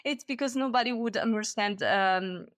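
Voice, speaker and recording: A woman's voice: fairly high pitch, moderate pace. Woman, laughing a lot. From Switzerland. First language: Italian. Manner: laughs often between sentences, cheerful. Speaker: guest, technical diver. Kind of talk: casual podcast interview.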